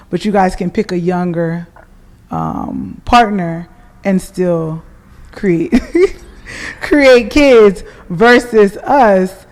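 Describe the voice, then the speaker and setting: Frank woman chatting on a podcast. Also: on the low side. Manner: frank, relaxed, thinks aloud with fillers. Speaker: podcast co-host. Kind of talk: casual chat.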